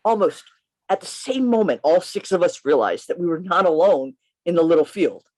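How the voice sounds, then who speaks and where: Femme jouant un narrateur de feuilleton radio, voix très expressive. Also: female voice, fairly low pitch, normal speed, low-quality recording. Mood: suspenseful narration. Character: earnest male poet-policeman narrating his strange adventure, impassioned. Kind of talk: dramatic narration in an amateur radio play reading. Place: remote reading over a video call.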